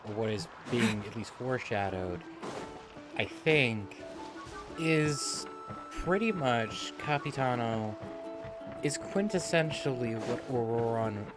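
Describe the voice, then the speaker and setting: A man's voice: mid-range voice, expressive, slow. A man giving casual livestream commentary as a game streamer, musing and sweary. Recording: game music behind.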